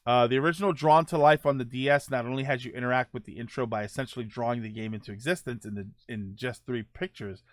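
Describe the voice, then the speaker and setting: A man's voice: mid-range voice, fairly even intonation, no echo. A man hosting a gaming livestream, talking casually and answering viewer comments, often rephrasing his points as he goes. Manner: halting, circles back and rephrases points.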